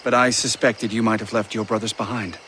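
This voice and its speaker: Actor as a low-voiced, deliberate colonel. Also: male voice, little pitch movement, fairly bright tone, a little hiss or hum, close to an everyday voice, rough recording. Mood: measured. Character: commanding colonel, measured, trusting senior officer of the order. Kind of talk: video game cutscene acting.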